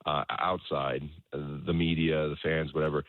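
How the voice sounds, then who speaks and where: Deep-voiced man in a roomy, dull recording. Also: level tone. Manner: halting, repeats words and often checks in with "right?". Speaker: soccer head coach and interviewee. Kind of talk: conversational sports interview, explaining his coaching philosophy. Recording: roomy echo, dull lo-fi sound.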